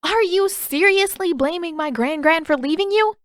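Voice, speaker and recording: A woman's voice: very high-pitched, normal speed, fairly bright tone, no echo, clean studio sound, pitched above the performer's own voice. A woman voicing a defiant, indignant teenage girl in a story reading, arguing in an emphatic, exasperated way. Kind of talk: audiobook-style fan fiction character reading.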